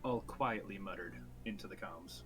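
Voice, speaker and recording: A man's voice: low voice, normal speed, over music. A man playing a tabletop roleplaying game, muttering in character and chatting with the table.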